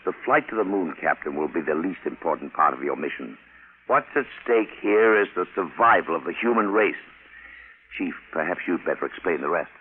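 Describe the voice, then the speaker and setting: An actor playing a grave, dignified President in a 1950s radio drama, speaking formally and with weight. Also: male voice, low voice, expressive, brisk. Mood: solemn. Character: grave, dignified male President of a world alliance. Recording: tinny, noisy vintage radio broadcast.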